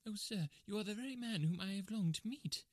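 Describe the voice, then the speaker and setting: A man's voice: a little high, a little slow, darkish tone, no echo, pitched above the performer's own voice. A man voicing a cringing, nervous little man with a thin, whining, quavering voice in an audiobook story. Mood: eager. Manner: hesitant, pleading.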